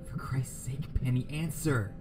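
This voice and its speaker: A man playing a frightened, desperate brother in a horror audio story, urgent and halting. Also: mid-range voice, noisy background, lightly acted. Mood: urgent, dazed.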